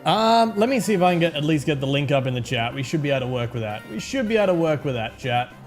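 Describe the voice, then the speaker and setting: A man with an Australian accent and a slightly hoarse voice, chatting casually to viewers on a live game stream and greeting them by name. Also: fairly high pitch, sing-song, fast talker, faint music behind. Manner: chatty, greets viewers by name, fretting over technical trouble.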